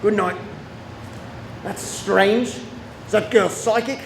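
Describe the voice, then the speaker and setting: A man's voice: high-pitched, steady tone, slow pace, creaky, full character voice. A man playing a brusque, inquisitive police inspector with a wry streak in a radio drama. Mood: matter-of-fact. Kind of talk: radio play acting. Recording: echoey room with faint noise.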